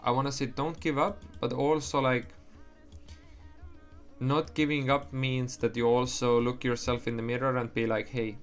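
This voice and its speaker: A young man whose first language is Estonian, talking casually on a livestream, rambling, swearing and restarting his sentences. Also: mid-range voice. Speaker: livestream trader.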